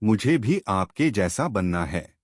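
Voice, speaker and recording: A man voicing a humble, grateful young daughter-in-law in a storybook tale, soft and respectful. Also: lowish voice, very animated, slow pace, no echo, clean studio sound, exaggerated voice. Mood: admiring.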